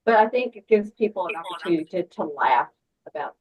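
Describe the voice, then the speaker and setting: A woman's voice: on the low side, expressive, quick pace, noisy background. A woman casually sharing her opinion in a group discussion. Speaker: participant in a script discussion.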